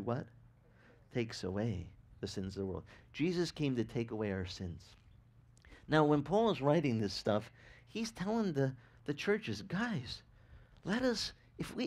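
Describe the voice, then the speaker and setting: A man in his forties whose first language is Italian, preaching a sermon on a beach and asking the crowd questions as he goes. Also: mid-range voice. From grew up speaking Italian. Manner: animated, gesturing, asks the crowd questions. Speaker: pastor. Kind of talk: outdoor sermon. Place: sandy beach outdoors.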